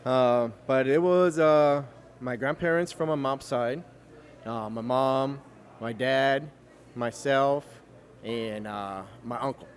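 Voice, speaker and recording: A man born in Cuba with Spanish as his first language, speaking English with no Cuban accent and telling his story in a relaxed way, with lots of fillers. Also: medium pitch, slow pace. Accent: American English, no Cuban accent. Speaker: guest. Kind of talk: casual interview chat.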